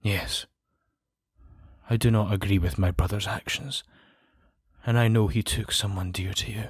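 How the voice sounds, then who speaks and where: A man's voice: on the low side, steady tone, unhurried, slightly rough, no echo, clean studio sound. A man playing a grave, injured nobleman in an audio drama, speaking formally and solemnly. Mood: solemn, regretful.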